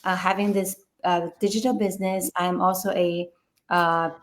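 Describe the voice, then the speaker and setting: A woman's voice: on the low side, level tone, moderate pace. A woman from Taiwan, a guest on an online interview, introducing herself hesitantly with many fillers.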